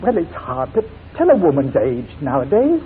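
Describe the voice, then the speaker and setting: Panicky accused man, 40s radio, highish swoopy voice, tinny recording. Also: wildly swooping pitch, moderate pace, a little hiss or hum. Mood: distressed. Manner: agitated, hesitant. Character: flustered, cornered male murder defendant on the stand. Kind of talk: 1940s radio drama acting.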